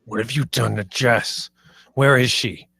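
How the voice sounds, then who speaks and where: A man acting as a violent, enraged figure with a forceful, gravelly growl. He is menacing, and the script-read recording is dry and close. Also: medium pitch, steady tone, a little slow, no room sound, exaggerated voice. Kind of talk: film script table read.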